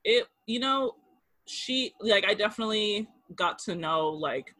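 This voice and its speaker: A man in his thirties telling personal stories in an interview, halting with fillers and restarts and laughing easily. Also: high voice, expressive, sharp tone, no room sound, low-quality recording. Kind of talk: casual storytelling interview.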